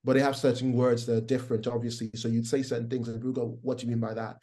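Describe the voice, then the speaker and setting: A man's voice: mid-range voice, flat delivery, very fast, warm tone. A middle-aged man with a Nigerian accent and a faint American twang, a guest chatting casually and rambling with plenty of fillers and restarts. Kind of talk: casual interview chat.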